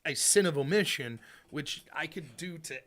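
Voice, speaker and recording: A man from rural Pennsylvania hosting a call-in talk show, explaining in a relaxed, rambling way with fillers. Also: on the high side, moderate pace. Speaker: show host.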